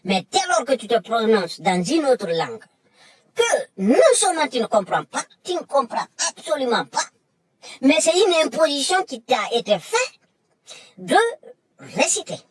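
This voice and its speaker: A woman's voice: sits high, sing-song, measured pace, slightly rough, dry recording. A woman delivering an emphatic, lecturing monologue that repeats its points. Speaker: commentator. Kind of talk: impassioned monologue.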